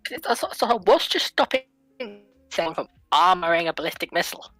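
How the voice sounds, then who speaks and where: A woman with a deep, forceful voice argues her side in a casual livestream debate. She counters point by point, sounding dry and close, with music behind her. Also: big pitch swings, fairly quick, no room sound. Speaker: livestream panelist.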